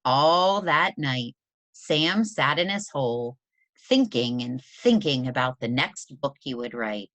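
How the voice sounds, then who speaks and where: A low-voiced woman reads a children's storybook aloud like a teacher. Her delivery is slow and deliberate, with lots of expressive rise and fall. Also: no room sound. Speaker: teacher reading aloud to students.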